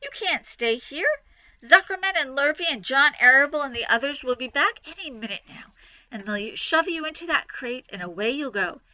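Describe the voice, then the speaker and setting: A woman voicing a wise, gentle female spider in a storybook reading, low and quiet, steady. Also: normal speed, no echo, lo-fi sound, full character voice. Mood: firm. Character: calm, wise female spider, weak and dying.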